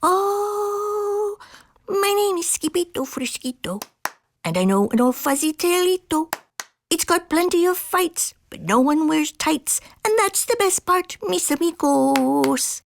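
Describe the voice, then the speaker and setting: A woman's voice: high-pitched, sing-song, measured pace, a little hiss or hum, pitched above the performer's own voice, full character voice. A woman voicing a playful, boastful little boy kitten who plays at being a chihuahua with a theatrical put-on Spanish accent. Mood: singing boast.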